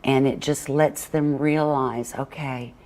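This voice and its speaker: An older woman speaks in a strikingly low register. She talks conversationally as a guest being interviewed, explaining things plainly and in anecdotes. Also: fairly even intonation, slow, a little mellow. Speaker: interview guest, children's book author and illustrator. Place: TV morning show interview.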